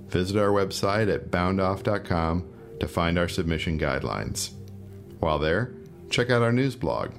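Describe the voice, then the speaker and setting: A man's voice: sits low, gravelly. A man reading scripted announcements as the host of an audio podcast. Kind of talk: scripted narration.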